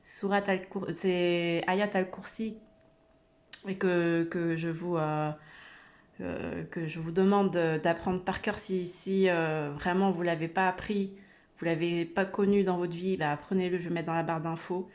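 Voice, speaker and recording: A woman's voice: fairly low pitch, steady tone, a little slow, a little mellow. A woman giving an informal religious talk, earnest and hesitant, with many fillers and restarts. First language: not Arabic. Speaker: religious video creator. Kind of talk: informal spoken religious exhortation.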